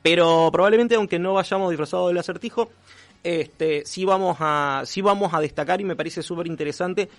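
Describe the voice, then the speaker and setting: Analytical, higher-pitched Rioplatense man. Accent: Rioplatense Spanish. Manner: analytical, halting delivery with formal vocabulary. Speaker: radio columnist. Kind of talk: casual on-air conversation about comics and philosophy.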